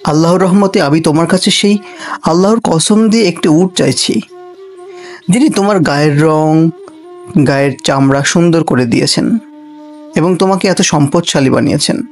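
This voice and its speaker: A man playing a cartoon royal guard disguised as a poor traveller, pleading and preaching in an earnest, deliberate way. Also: on the high side, faint music behind, natural voice. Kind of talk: Bengali cartoon character acting.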